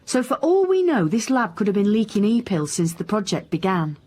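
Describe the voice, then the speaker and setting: A woman acting a dry, sceptical police inspector in a radio drama, questioning in a measured, pointed way. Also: mid-range voice, big pitch swings, darkish tone. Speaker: actor in radio crime drama.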